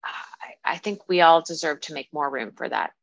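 A woman speaking as a guest coach in a reflective, conversational interview, often halting as she thinks aloud. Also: low voice, gravelly, dry recording, lo-fi sound. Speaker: podcast guest, dating and relationship coach.

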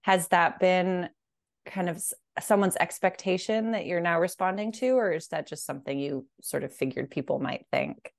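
A woman hosting an interview over a video call, curious and chatty, asking quick, friendly questions. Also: on the low side, gravelly. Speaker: interviewer, host. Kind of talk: casual interview talk.

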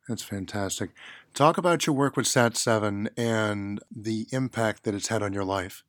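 A man's voice: lowish voice, moderate pace, fairly bright tone, no echo, clean studio sound. A man interviewing a guest, polite and affirming in his questions.

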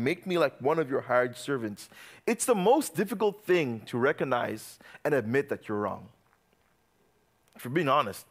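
A young man from Uganda preaching to a youth group in a joking, animated way, swinging from loud bursts to quiet asides. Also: sits high. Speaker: youth pastor.

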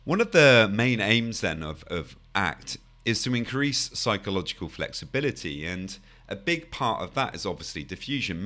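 A man with a deep, low-set voice that sounds croaky and a little hoarse today. He explains things calmly and steadily as a podcast host, often adding "you know" and restarting phrases. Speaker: podcast host and anxiety coach. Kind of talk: solo podcast talk.

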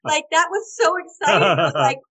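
A hoarse woman in her forties, a guest telling stories animatedly, laughing often and acting out quotes. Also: high-pitched, huge pitch swings, a little fast, pushed and bright. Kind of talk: animated storytelling.

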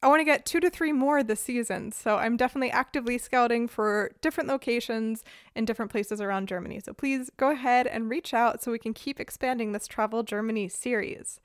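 Woman podcast host: upbeat, conversational, slightly high voice, very dry sound. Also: fairly quick, clear, resonant tone, no echo. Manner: enthusiastic, chatty.

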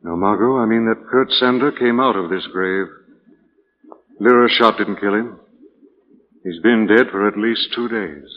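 An actor playing a calm, commanding, protective gentleman sleuth in a 1940s radio mystery, speaking earnestly and decisively. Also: lowish voice, unhurried, pauses often. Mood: grave, steady. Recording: muffled, noisy old 1940s radio recording.

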